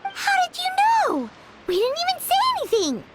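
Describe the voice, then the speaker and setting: A woman voicing a tiny, excitable female companion sprite in a video game, bubbly and giggly. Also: extremely high pitch, moderate pace, heavy background noise, exaggerated voice. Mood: eager. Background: game music.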